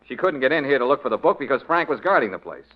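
An actor playing a cool, wry, self-assured American private detective in a 1940s radio drama. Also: male voice, mid-range voice, big pitch swings, racing. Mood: explaining, confident. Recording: muffled old radio recording with noise.

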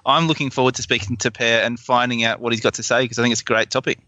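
A man's voice: mid-range voice, steady tone, quick pace, sharp tone, dry recording. A man from Australia co-hosting a podcast, talking in an upbeat, friendly way. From Adelaide, Australia. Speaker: podcast co-host. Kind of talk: casual podcast chat.

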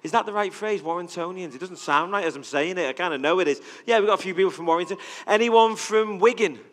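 A man's voice: high voice, fast talker, a bit bright. A middle-aged man with a Liverpool accent preaching in a chatty way, asking the crowd questions. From England. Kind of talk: conversational sermon. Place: church hall with audience. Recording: reverberant hall.

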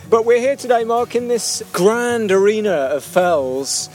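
High-voiced man hosting outdoors. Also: expressive, slow pace, darkish tone, soft background music. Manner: descriptive, scene-setting. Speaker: podcast host. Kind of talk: outdoor walking podcast presenting. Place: outdoors in a mountain valley.

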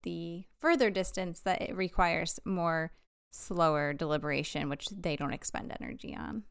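A woman's voice: lowish voice, normal speed, creaky, smooth, no room sound, clean studio sound. A woman co-hosting a podcast, joining in casually to add to and check the points being discussed. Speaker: podcast co-host. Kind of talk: casual discussion, attentive interjections.

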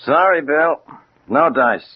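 An actor playing a plain-spoken American homicide captain, matter-of-fact and official, in a 1940s radio crime drama. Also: male voice, fairly high pitch, expressive, slow pace. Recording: muffled old radio recording with hiss.

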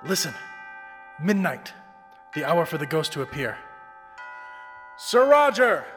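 A man's voice: fairly high pitch, very animated, takes it slowly. A man playing a bold, suave crime-fighting investigator in an old-time radio drama, speaking with theatrical confidence. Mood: bold, urgent. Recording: long reverb with faint music.

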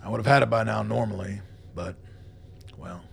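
Very gravelly, weary army colonel. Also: male voice, fairly low pitch, normal speed, full character voice. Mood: blunt, guarded. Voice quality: very gravelly, husky. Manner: blunt, clipped, commanding. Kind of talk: radio play acting.

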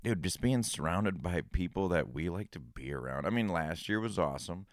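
A man's voice: low-pitched, lively intonation, moderate pace, no room sound, studio quality. A man in his thirties co-hosting a podcast, talking in a laid-back, rambling way. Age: 30s. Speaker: podcast co-host. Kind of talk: casual chat.

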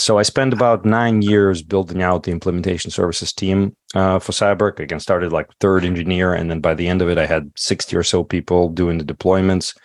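A man's voice: sits low, no room sound, clean studio sound. A middle-aged man who is not a native English speaker, answering questions in a casual interview, thoughtful, with many fillers and restarts. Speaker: podcast guest.

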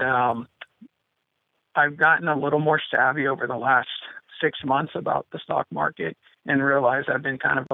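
A man's voice: mid-range voice, gravelly, dry recording. A man in his fifties calling in to a radio show, hesitant and self-deprecating, with lots of ums. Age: 50s. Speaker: caller. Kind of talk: call-in question to a radio show. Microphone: telephone. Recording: tinny phone line.

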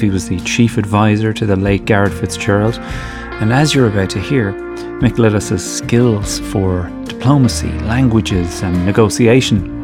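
Irish male podcast host over guitar music. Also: lowish voice. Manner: emphatic, building up the guest. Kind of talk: scripted intro then interview.

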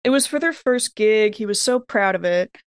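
A woman's voice: medium pitch, animated, moderate pace, clear, resonant tone, no echo, studio quality. A woman talking casually as a player in a tabletop roleplaying game. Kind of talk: casual chat.

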